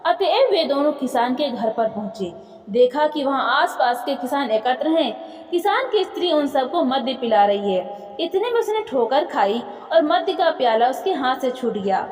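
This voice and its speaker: A woman's voice: high-pitched, big pitch swings, moderate pace, darkish tone. A woman narrating a moral folk tale in formal literary phrasing, with dramatic storytelling delivery. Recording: echoey room with long reverb.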